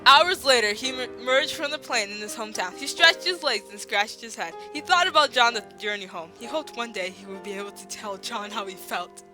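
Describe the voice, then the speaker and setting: A woman's voice: a little high, rough recording. A young woman with a slight lisp, reading fan fiction aloud over a voice call, swearing and breaking into laughter. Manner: breaks into laughter, swears, fast excited delivery. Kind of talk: giggly casual dramatic reading. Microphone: voice call microphone. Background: faint classical music.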